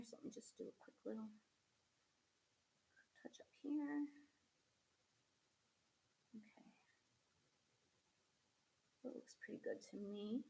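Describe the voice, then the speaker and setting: A woman's voice: a little high, vocal fry, a bit bright, low-quality recording. A woman hosting a crafting demo, chatting casually to viewers as she paints, a little sniffly from a cold. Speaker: craft host.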